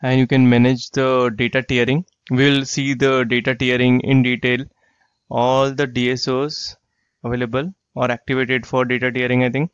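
A man walking through a software tool in a screen-share tutorial, explaining plainly and repeating himself. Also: medium pitch, little pitch movement, moderate pace, clean tone, no echo. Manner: plain explanatory, repeats phrases like "you get the idea". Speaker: software trainer. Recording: dry, slightly dull lo-fi voice track.

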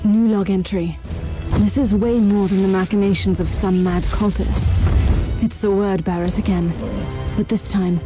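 A woman voices a stern female Inquisitor from a dark sci-fi video game. She is zealous and commanding, clipped and formal in every line. Music plays clearly behind her voice. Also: medium pitch, fairly even intonation, normal speed, natural voice. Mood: grim, resolute. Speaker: video game lead character.

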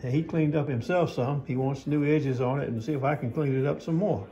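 A man's voice: medium pitch, a little fast, dark tone, dull audio. A man, talking in a folksy, rambling way while filming himself on his phone, partly at an outdoor market. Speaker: knife sharpener vlogger. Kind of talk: casual vlog narration. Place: outdoor farmers market parking lot. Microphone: phone camera held by speaker.

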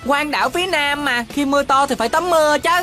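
A woman's voice: sits high, fast, music in the background, close to an everyday voice. A woman voicing a sensible, easily flustered little boy in a cartoon dub, with a southern Vietnamese accent. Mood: excited.

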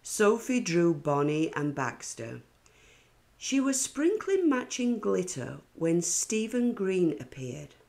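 A woman reading a children's picture book aloud in a deliberate, expressive storytelling style. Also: lowish voice, vocal fry.

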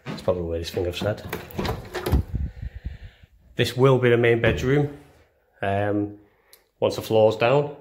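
A man's voice: on the low side, lively intonation, a little slow, pauses often, darkish tone. A young man giving a casual house tour, chatty and self-deprecating, as he films on a handheld phone. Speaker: vlogger. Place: indoors, walking through rooms of a house. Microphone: handheld phone camera.

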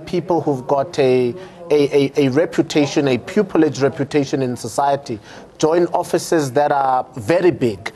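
A man's voice: fairly high pitch, warm tone. A middle-aged man with a South African accent, giving political commentary in a studio, repeating words as he builds his point. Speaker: panel commentator.

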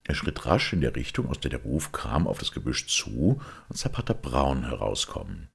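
Extremely deep, gravelly male audiobook reader. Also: huge pitch swings, moderate pace. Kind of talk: scripted literary narration.

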